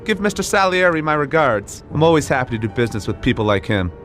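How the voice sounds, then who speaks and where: Animated, affable bootlegger. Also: male voice, on the high side, fast, faint music behind. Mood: cordial. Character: affable male bootlegger selling whiskey to mobsters. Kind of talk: video game cutscene acting.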